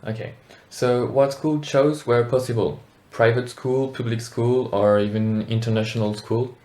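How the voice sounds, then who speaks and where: A man conducts an interview in a mid-pitched voice, putting short, plain questions and adding the odd filler. His voice carries a roomy echo. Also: fairly even intonation, a little slow, darkish tone.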